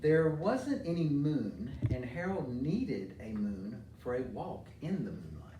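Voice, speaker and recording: Middle-aged American man, children's story-time speaker, medium pitch, recorded at a distance with faint noise. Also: very animated, a little slow, warm tone. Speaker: mayor reading to children. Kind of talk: informal talk and storybook read-aloud for children. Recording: roomy echo, distant mic, faint noise.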